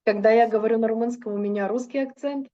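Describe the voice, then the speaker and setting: A woman's voice: on the high side, steady tone, fast talker, warm tone, highs cut off. A woman interpreting a religious lecture into Russian, fluent but with occasional hesitations and self-corrections.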